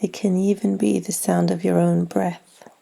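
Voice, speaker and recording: Gravelly, mellow woman guiding meditation. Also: lowish voice, little pitch movement. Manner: soothing, gentle, unhurried instruction with long pauses. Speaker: yoga nidra guide. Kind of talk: guided meditation.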